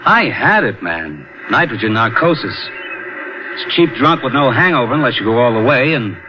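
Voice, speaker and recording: An actor playing a wry, wary diving buddy with a dry, sardonic, conversational radio drama delivery. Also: male voice, mid-range voice, very animated, normal speed, close to an everyday voice. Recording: muffled lo-fi radio recording over loud music.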